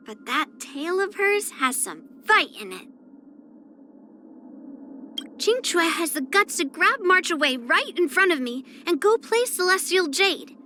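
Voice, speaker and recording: Bright, high-voiced girlish young swordswoman. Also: heavily acted. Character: spirited young swordswoman, blunt, proud, girlish. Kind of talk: character voice lines for an anime-style video game.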